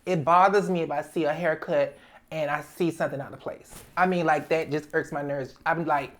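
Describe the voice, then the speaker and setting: A woman talks about her work as a guest on a chat show. Her voice sits a bit low, and she stresses her points by repeating them. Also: moderate pace. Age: 50s. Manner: emphatic, repeats herself, talks with relaxed confidence. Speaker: guest hairstylist. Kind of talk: casual interview chat.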